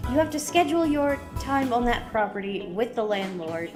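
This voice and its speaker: A woman playing a breezy, quick-witted hacker sidekick in an audio drama. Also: on the high side, big pitch swings, over music. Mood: brisk, wry.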